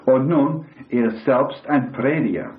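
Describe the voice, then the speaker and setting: Older man, hoarse, preaching sermon, unhurried, roomy echo, thin phone-like sound. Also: medium pitch. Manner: halting, self-correcting delivery with questions to the congregation. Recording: echoey, tinny phone-like recording.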